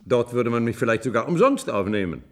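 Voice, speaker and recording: A man's voice: mid-range voice, sing-song, brisk, close to an everyday voice. A man acting a proud, stubborn older man. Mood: earnest, insistent. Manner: earnest, stubborn, hesitant then insistent. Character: proud, bitter, ailing old ex-surgeon turned electrician. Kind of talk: German science-fiction radio play acting.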